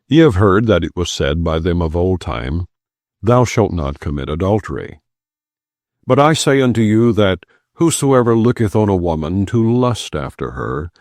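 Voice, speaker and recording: A man reads scripture aloud in a measured, solemn cadence, his voice sitting very low. His delivery swings widely in pitch from line to line, rising and falling. The sound is close and completely dry, with no room around it. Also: a little mellow, no echo. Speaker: Bible reader. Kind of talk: scripted scripture reading, non-dramatized.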